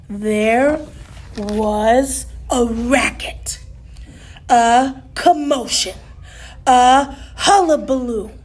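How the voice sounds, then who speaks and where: Female children's storyteller, unhurried and very lively, with dramatic drawn-out emphasis. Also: a little high. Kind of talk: animated storybook read-aloud.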